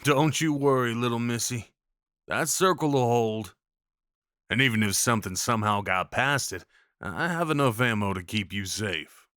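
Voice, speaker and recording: Man acting, Old West cowboy, protective, awkwardly kind, informal, medium pitch, intimate roleplay, dry recording. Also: animated, normal speed, a bit bright, no room sound, lightly acted. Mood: gentle, reassuring. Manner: folksy, reassuring, informal.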